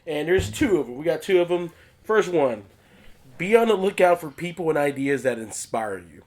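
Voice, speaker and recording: A man in his thirties co-hosting a comedy podcast, excitable and laughing through crude banter. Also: a little high, moderate pace. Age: 30s. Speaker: podcast co-host.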